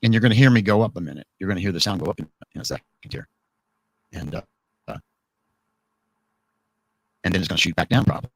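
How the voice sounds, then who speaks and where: A man hosting a live radio talk program, his voice worn hoarse, chatting casually and jokingly with many restarts. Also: low-pitched, lively intonation, quick pace, long pauses, dry recording, low-quality recording.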